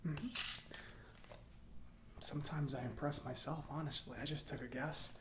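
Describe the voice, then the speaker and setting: A man playing a chatty, self-satisfied robot mechanic in a soft-spoken ASMR roleplay, breathy and often near a whisper, musing slowly with pauses. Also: on the high side, fairly even intonation, noisy background, natural voice. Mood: focused, pleased. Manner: unhurried, hesitant musing with pauses.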